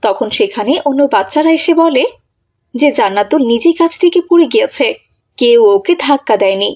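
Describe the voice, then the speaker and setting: A woman narrates a children's moral story from a script. The voice is somewhat high. She works as the storyteller between scenes. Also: lively intonation, brisk, darkish tone.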